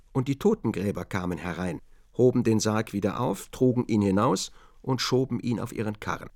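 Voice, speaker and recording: A man's voice: medium pitch, creaky. A man reading scripted literary narration for a radio drama.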